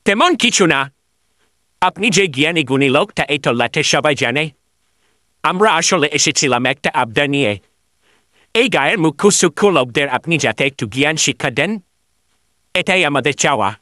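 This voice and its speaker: A man voicing an excitable, flattering village man in a cartoon. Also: fairly high pitch, fairly quick, long pauses, no room sound, studio quality. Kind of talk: cartoon voice acting.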